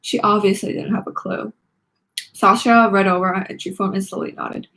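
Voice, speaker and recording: A woman's voice: mid-range voice, fairly even intonation, moderate pace, creaky, fairly bright tone, poor audio. A woman hosting a read-along, narrating a children's book expressively.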